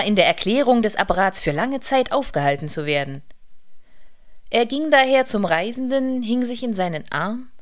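A woman narrates a literary radio drama from a script. Her voice sits at a middle pitch.

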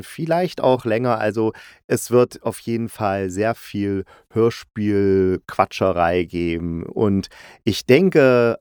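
A man's voice: fairly low pitch, smooth, no echo, clean studio sound. A man hosting a podcast, giving a casual, chatty review in a playful, jokey way, often correcting himself mid-sentence. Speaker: podcast host and audio drama reviewer.

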